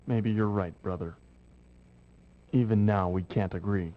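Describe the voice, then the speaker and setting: An actor playing a grave, resolute rebel warrior in a video game, with solemn, deliberate delivery. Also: male voice, on the low side, fairly even intonation, lo-fi sound, natural voice. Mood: sorrowful resolve. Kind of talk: dramatic video game cutscene acting. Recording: noisy 1990s game audio.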